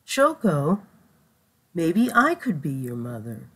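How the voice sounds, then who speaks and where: Storybook mother bear played by a woman: kindly, soothing, gentle, slow delivery. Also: sits low, very animated, slightly rough, full character voice. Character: kind, motherly, comforting female bear.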